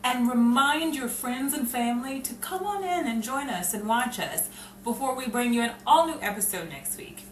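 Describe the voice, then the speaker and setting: Lively woman in an echoey, noisy room. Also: fairly high pitch, normal speed, recorded from a distance. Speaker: host and director of an audio drama troupe. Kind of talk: casual directing and show sign-off.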